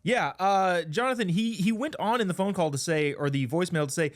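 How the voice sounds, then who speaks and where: Higher-pitched American man hosting a panel. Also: fairly quick. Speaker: talk show host. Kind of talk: political panel talk, casual and joking.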